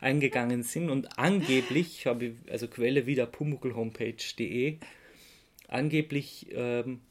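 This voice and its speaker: An Austrian man talks listeners through his research on a casual podcast. His delivery is spontaneous and a little halting, as he feels for the right word. He sounds amused by his material. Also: mid-range voice, background noise. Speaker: podcast host presenting research.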